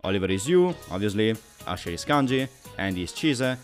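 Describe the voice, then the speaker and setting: A man's voice: lowish voice, big pitch swings, fairly quick, soft background music. A man giving a scripted video essay review as commentary, in a wordy, analytical way with emphatic phrasing.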